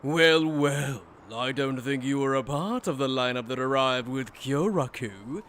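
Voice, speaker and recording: A man's voice: mid-range voice, unhurried, a bit bright, a little hiss or hum, close to an everyday voice. A man playing a cruel, mocking aristocratic villain in an anime fan dub, with pompous, condescending, theatrical delivery. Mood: haughty, amused. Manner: pompous, theatrical, sneering formal speech.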